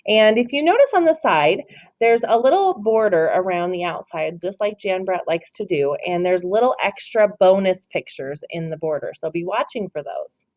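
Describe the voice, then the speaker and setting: A woman's voice: fairly low pitch, expressive, moderate pace, darkish tone. A woman teacher reading a picture book aloud to children, encouraging them and asking questions about the pictures.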